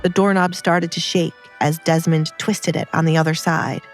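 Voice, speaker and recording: A woman's voice: low-pitched, little pitch movement, normal speed, soft background music. A woman narrating an audiobook drama in a scripted storytelling style.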